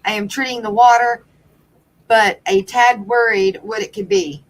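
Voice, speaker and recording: A woman's voice: fairly high pitch, fairly even intonation, measured pace, rough recording. A woman hosting a casual livestream, reading out viewer questions in an upbeat way.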